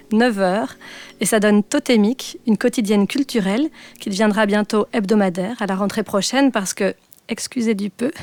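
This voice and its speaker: A woman's voice: medium pitch, a little mellow, no echo. A woman co-hosting a podcast, reading an admiring prepared portrait of her guest. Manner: admiring, reads a prepared text. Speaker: podcast co-host.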